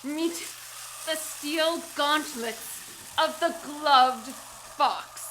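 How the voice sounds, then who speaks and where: Woman playing a high-voiced, poised vigilante socialite. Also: extremely slow, noisy background. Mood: stern, commanding. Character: poised, bold socialite woman turned masked vigilante heroine. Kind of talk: 1940s-style radio drama acting.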